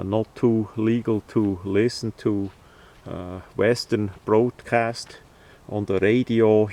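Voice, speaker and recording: Slow, halting man with a low voice. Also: a little mellow. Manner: halting, hesitant, pausing mid-phrase. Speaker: presenter of a how-to video. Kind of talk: informal instructional demonstration.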